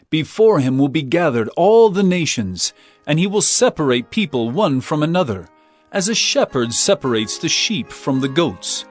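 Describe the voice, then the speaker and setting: Male narrator for dramatized scripture, mid-pitched, very expressive intonation, music underneath.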